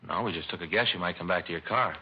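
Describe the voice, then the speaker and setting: An American actor playing an easygoing police detective in a 1950s radio drama, casual and matter-of-fact. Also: male voice, sits low, fast, breathy edge. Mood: casual, puzzled. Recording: muffled 1950s radio recording.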